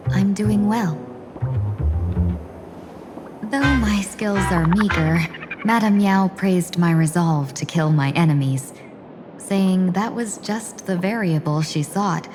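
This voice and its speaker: Devoted young female warrior aide: game character, lowish pitch, courteous and deferential, music underneath. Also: slow, pauses often. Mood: devoted, grateful. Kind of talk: scripted video game acting.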